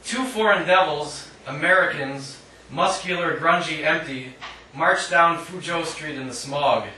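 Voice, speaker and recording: Echoey, bright-voiced man reading poetry. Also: on the high side, a little slow. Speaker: poet reading own work. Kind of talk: live poetry reading with short spoken asides. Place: indoor literary cafe reading. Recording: echoey live room, distant mic, faint noise.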